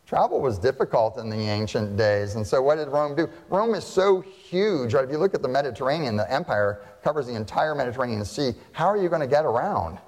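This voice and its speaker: A man's voice: medium pitch, animated, a little fast, hardly any pauses, darkish tone, long reverb tail. Man preaching a conversational sermon. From United States. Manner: conversational, asks the audience questions. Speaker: pastor.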